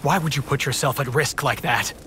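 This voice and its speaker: A man playing a calm, guarded elf-like warrior in a fantasy video game, speaking in a measured, serious way. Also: mid-range voice, a little hiss or hum, lightly acted. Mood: serious, reserved. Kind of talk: restrained video game dialogue acting. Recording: loud game music behind.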